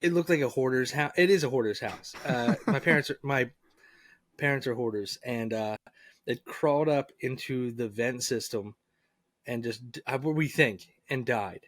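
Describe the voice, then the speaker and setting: A man's voice: mid-range voice, normal speed, fairly bright tone, no echo. A man who is a comedian, guesting on a podcast and telling stories in an easygoing way, saying dude a lot. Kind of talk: casual chat.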